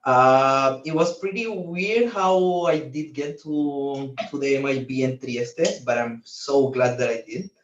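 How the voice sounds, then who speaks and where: A young man joins over a video call, halting and searching for his words as he describes his work. His voice sounds far from the mic in an echoey office, with dull, muffled audio. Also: medium pitch. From Chile. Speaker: alumnus guest. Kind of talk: casual remote testimonial.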